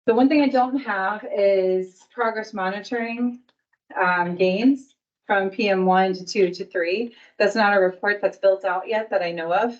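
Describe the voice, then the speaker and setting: A woman's voice: medium pitch, measured pace. A woman presenting at a provider meeting in an upbeat, encouraging way. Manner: upbeat and encouraging, laughs easily. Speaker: early learning coalition staff presenter. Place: meeting room. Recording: dull sound, distant from the mic.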